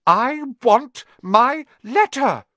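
A defiant young storybook boy, voiced by a man, insistent and indignant. The pitch is pushed up and jumps around, with a dry, close sound. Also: huge pitch swings, normal speed, no room sound, full character voice. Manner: demanding, forceful.

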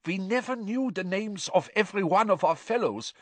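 A man voicing a frightened, unctuous middle-aged male prisoner who pleads in a hurried, breathless way. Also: sits high, animated, no echo, voice pushed up. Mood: hurried groveling.

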